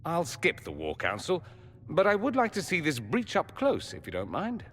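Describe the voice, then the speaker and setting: A sardonic, bright-voiced mage. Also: male voice, on the high side, huge pitch swings, normal speed, exaggerated voice. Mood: sardonic, amused. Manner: witty, dry, theatrical sarcasm. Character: urbane, sardonic male mage from a foreign empire. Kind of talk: video game dialogue acting.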